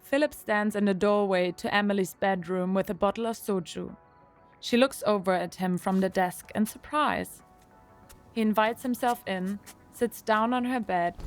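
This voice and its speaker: A woman serves as narrator of a scripted audio drama. She has a mid-pitched voice, and music plays softly behind her.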